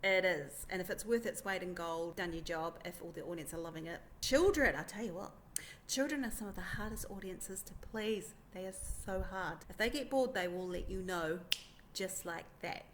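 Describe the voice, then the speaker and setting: A woman's voice: lowish voice, moderate pace. A woman presenter giving an informal explainer, chatty and emphatic. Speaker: presenter, pantomime performer. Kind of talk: informal explainer talk to camera. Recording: clear background noise.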